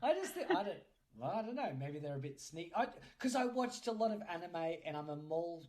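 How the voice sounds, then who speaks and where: Male host, very animated delivery, casual and cheeky interviewing. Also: fairly high pitch, fairly quick. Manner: teasing, jokey. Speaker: podcast host. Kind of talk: casual quick-fire interview chat.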